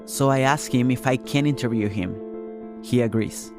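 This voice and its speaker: A man's voice: mid-range voice, normal speed. A man hosting and reporting a podcast, reading scripted first-person narration. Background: music.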